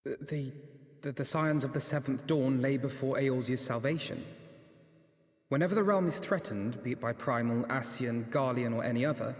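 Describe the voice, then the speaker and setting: A man's voice: medium pitch, moderate pace, roomy sound, big-room sound, close to an everyday voice. A man playing an earnest, idealistic young hero in a dramatic fantasy game, with emotional, formal delivery. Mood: subdued, resolute.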